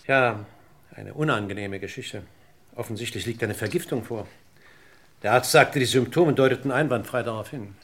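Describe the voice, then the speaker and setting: An actor playing a courteous, methodical police inspector in a German radio crime drama, questioning with formal, deliberate politeness. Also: male voice, mid-range voice, fairly bright tone. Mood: measured, probing. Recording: noisy old radio play recording.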